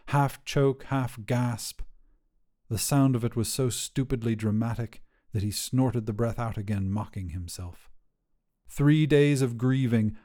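A man's voice: medium pitch, warm tone. A man reading scripted material as the narrator of a fiction podcast.

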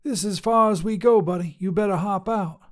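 A man's voice: high voice, moderate pace, voice pushed up, natural voice. A man voicing a wiry, mocking woman trucker who turns out to be a demon, with a drawling, teasing, folksy manner. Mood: sly teasing.

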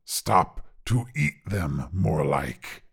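A very gravelly, husky monster of a dinosaur, huge and carnivorous. It speaks low, with pompous, learned diction and smug self-assurance, as a character in a storybook reading. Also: male voice, very animated, extremely slow, exaggerated voice. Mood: smug, dry. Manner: pompous, learned, formal phrasing. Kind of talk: character voice in narrated short fiction.

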